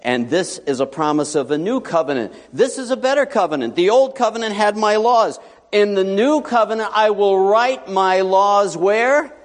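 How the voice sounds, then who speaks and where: A male pastor preaching a sermon in a church, animated and joking, with sudden loud and hushed asides. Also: sits high, normal speed. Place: church sanctuary. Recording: long hall reverb.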